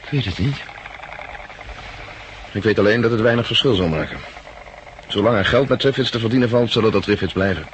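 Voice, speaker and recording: An actor in a 1960s radio drama playing a shrewd, brooding farm worker who speaks gravely and deliberately. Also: male voice, on the low side, natural voice, low-quality recording. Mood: wary, resigned. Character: brooding male plant-farm worker, shrewd, grimly warning. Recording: old radio recording, faint noise.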